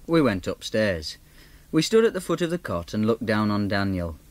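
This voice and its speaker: A man's voice: on the low side, moderate pace, no room sound. A man playing a laconic young lad. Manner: flat first-person narration, grunting replies.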